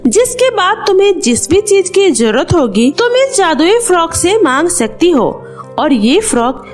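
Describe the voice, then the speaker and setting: Woman as a high-voiced, kindly magical fairy. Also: very animated, unhurried, soft background music, heavily acted. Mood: reassuring. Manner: gentle, benevolent, reassuring.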